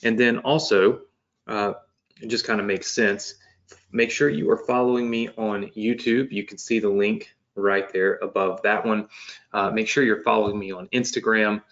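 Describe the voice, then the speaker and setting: A man's voice: on the low side, fairly even intonation, normal speed, a bit bright, highs cut off. A man in his late thirties hosting a live business webinar, talking loosely with many fillers and restarts. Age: 30s. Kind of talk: casual live-stream talk. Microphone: webcam setup at home desk.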